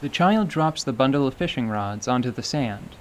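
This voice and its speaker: A man narrating a scripted radio theater story in a measured, descriptive way. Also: medium pitch, animated, a little hiss or hum. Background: faint music.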